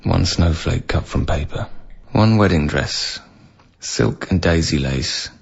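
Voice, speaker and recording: An actor playing a dutiful council officer, reading out items matter-of-factly in a radio drama. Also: male voice, low voice, animated, slow pace, gravelly, muffled recording, background noise, heavily acted. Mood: matter-of-fact, subdued. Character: dutiful male council officer listing belongings.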